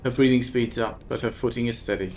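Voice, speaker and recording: A male streamer reads a text game aloud in steady second-person narration, now and then stopping to point out small errors. His voice sits at an average pitch. Soft music plays faintly behind him. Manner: measured narration broken by quick remarks on typos. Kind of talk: reading interactive fiction aloud with asides.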